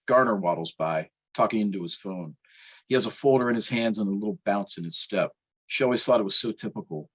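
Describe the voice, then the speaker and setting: A man with a lowish, rather raspy voice reads literary fiction aloud. He sounds like an author at a reading, narrating his own prose with a wry edge. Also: fairly bright tone, low-quality recording.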